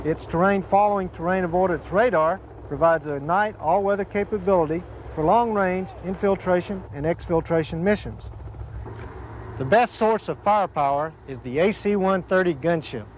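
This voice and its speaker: American male, notably high voice, formal air force instructor reading scripted material, slight background noise. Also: normal speed. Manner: formal, enunciating lists and designations. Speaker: military air force presenter.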